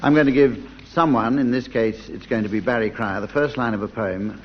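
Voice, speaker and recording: A man's voice: mid-range voice, a little fast. A man chairing an unscripted comedy panel game in front of a studio audience. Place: live show before a studio audience. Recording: dull noisy old radio recording.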